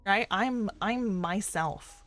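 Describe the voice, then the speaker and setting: A young woman who streams and works as a doctor, chatting casually with a fairly deep voice and a rambling, filler-heavy way of talking. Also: slow, a bit bright, soft background music. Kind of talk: casual chat with live chat readers. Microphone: desk microphone.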